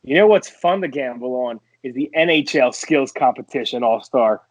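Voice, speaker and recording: A man co-hosting a casual sports betting podcast, talking picks in a chatty, animated way. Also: mid-range voice, normal speed, no room sound. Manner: chatty, animated, laughing banter. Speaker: podcast co-host, sports bettor. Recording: dry, dull lo-fi podcast audio.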